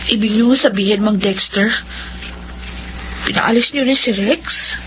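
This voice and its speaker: An actress playing a gentle young friend in a radio drama, careful and pleading in manner. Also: female voice, medium pitch, a little slow, close to an everyday voice. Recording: dull lo-fi recording with faint noise.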